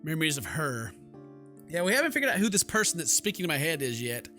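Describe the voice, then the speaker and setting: A man's voice: a little high, big pitch swings, fast talker, sharp tone, soft background music. A man hosting a gaming video, jokey and exclamatory, mixing casual commentary and muttered asides with reading text aloud.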